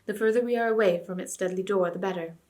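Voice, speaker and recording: A woman voicing a stern, noble king giving calm, old-fashioned commands. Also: mid-range voice, fast talker, close to an everyday voice. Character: stern, noble, hopeless king, grown man.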